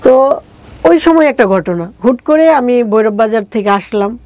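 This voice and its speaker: Female interview guest, regional Bangladeshi accent, soft dark tone, close dry sound, colloquial storytelling. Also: on the high side, expressive, a little slow, dry recording. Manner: colloquial, acting out remembered dialogue. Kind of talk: conversational personal life-story telling.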